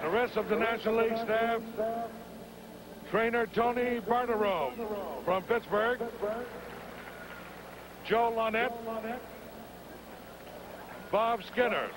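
A man announcing player introductions over a stadium public address system, speaking slowly with long pauses between names, recorded in an outdoor ballpark. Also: very high voice. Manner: slow, deliberate, drawn-out pauses between names. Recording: stadium PA with loud crowd noise, 1980 broadcast. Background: loud crowd.

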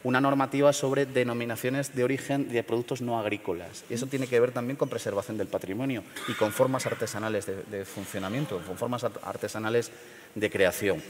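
A middle-aged man from León in Spain, speaking at a panel forum in a measured, hesitant way with many fillers and restarts. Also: mid-range voice, fairly even intonation, big-room sound, slight background noise. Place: large theater stage.

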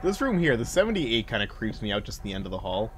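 A man's voice: lowish voice, sing-song, quick pace. Man giving casual game commentary over noise. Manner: talks back to game characters, thinks aloud. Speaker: gaming video host. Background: game ambience.

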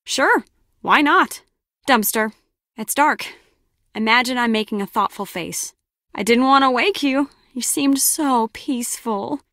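A woman's voice: a little high, measured pace, bright, forceful tone, dry recording. An actress playing a hard-nosed, sardonic female spy in a video game, with a dry, wry manner. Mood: deadpan.

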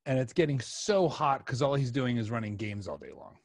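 A man's voice: mid-range voice, sing-song, fairly quick, no echo. A middle-aged man hosting a podcast in casual conversation, speaking into a close microphone. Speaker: podcast host. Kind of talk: casual chat. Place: small room with sofa, indoors. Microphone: desk microphone close up, headset.

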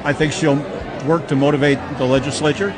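Man, slightly higher voice, earnest halting answers, crowd noise and music. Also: steady tone. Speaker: interviewee.

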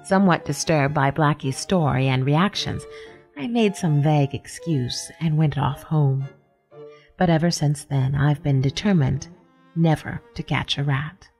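A woman's voice: low voice, measured pace, darkish tone, faint music behind. A woman playing a genteel, sly cat who narrates a radio story with polished, wry formality. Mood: wry, reflective.

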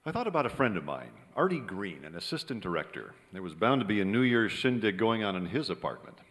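A man's voice: lowish voice, sing-song, fast talker, a bit bright. A man playing a cynical, wry screenwriter in old-time radio drama style, sardonic in delivery. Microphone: stand microphone on stage. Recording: live theater stage, long reverb.

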